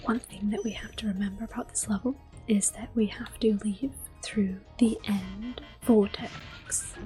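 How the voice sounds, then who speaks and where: A young woman whispering gentle, unhurried commentary while playing a video game for an ASMR video. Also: mid-range voice. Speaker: ASMR gaming host.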